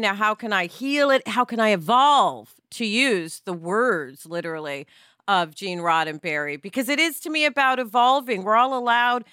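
A woman's voice: mid-range voice, sharp tone, clean tone, no echo, studio quality. A middle-aged woman hosting a podcast discussion, talking emphatically and often checking in with rhetorical questions. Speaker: podcast host.

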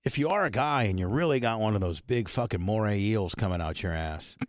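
A man's voice: on the low side, expressive, fairly quick, no echo, muffled recording. A man hosting a talk show, bantering crudely in an insistent, foul-mouthed way. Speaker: radio show host.

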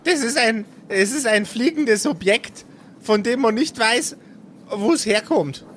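A woman's voice: on the high side, lively intonation, a little slow. A woman voicing an eager know-it-all schoolgirl, stammering and insistent. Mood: eager, flustered. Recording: faint background noise.

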